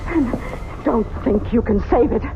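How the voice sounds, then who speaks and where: An actress playing a spiteful, bitter wife in an old radio drama, taunting in a shrill, venomous way. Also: female voice, lively intonation, a little slow, mellow, soft background music. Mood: spiteful, gloating. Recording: muffled old radio recording.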